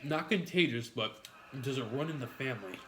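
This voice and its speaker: A man's voice: medium pitch, lively intonation, brisk, a bit bright, a little hiss or hum. A man with a New York accent eating on a live stream, rambling to his chat with frequent swearing and jokes. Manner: rambling, profane, jokey with chat.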